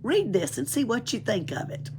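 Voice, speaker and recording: A woman's voice: a little high, very animated, normal speed, darkish tone, slight background noise, voice pushed up. A woman voicing a bold, whimsical, confident young girl in a storybook, speaking emphatically and breezily. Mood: decided.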